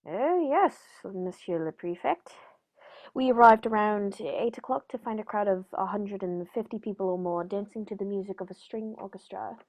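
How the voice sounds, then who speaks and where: A woman playing a courteous, composed English male detective with a measured, formal manner in a radio play. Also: mid-range voice, a little mellow, natural voice, rough recording. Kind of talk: 1940s radio play acting.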